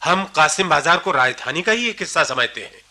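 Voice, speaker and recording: A man's voice: a little high, lively intonation, brisk, bright, forceful tone. An actor playing a deferential, cautious raja advising his ruler in a historical radio drama, speaking formally and carefully. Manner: formal, careful courtly speech. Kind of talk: theatrical Hindi radio historical drama acting.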